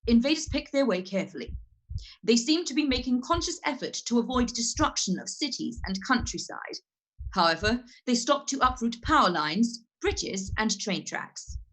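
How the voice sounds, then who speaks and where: A composed radio news announcer reads a string of urgent bulletins in a radio drama, as a woman plays her. Her voice sits a little high, and her delivery stays crisp and steady throughout. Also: normal speed, rough recording. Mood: grave. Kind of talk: scripted bulletin reading.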